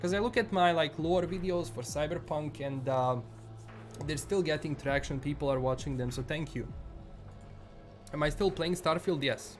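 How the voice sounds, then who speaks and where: A man's voice: fairly high pitch, normal speed, soft background music. Rambling Bosnian-accented streamer man. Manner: rambling, restarts often, says "like" a lot. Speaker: livestream host. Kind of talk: casual live-stream chat.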